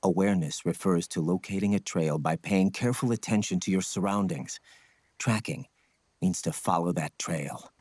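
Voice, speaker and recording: An actor playing a stoic ninja scout mentor in a video game, speaking in a grave, measured, husky voice. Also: male voice, darkish tone, no echo. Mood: solemn, instructive. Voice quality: low, husky. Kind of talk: dramatic video game acting.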